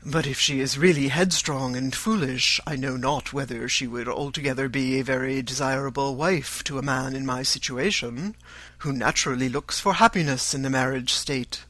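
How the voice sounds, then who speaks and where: A narrator voicing a pompous young male suitor in an audiobook, speaking in formal, measured phrases. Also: medium pitch, fairly bright tone, no room sound, lightly acted. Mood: self-important. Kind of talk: audiobook character voice.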